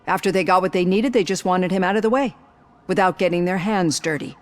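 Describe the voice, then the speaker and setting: An actress playing a composed, knowledgeable technical expert in a video game, explaining matter-of-factly. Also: female voice, on the low side, a little fast, lightly acted. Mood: serious. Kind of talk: video game cutscene acting.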